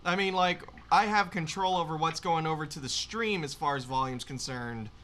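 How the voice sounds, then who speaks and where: A man running a live stream who patiently explains settings to the audience. His voice is slightly high and bright, and he hedges with ums and "I think". Faint noise hangs under the audio. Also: moderate pace. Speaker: stream producer. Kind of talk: casual live-stream chat.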